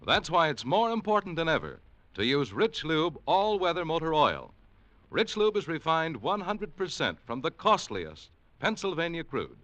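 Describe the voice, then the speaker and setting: A man's voice: on the high side, sing-song, normal speed, fairly bright tone, dull audio. A man reading commercials and credits as a 1940s radio announcer, polished and upbeat in the old declaiming style.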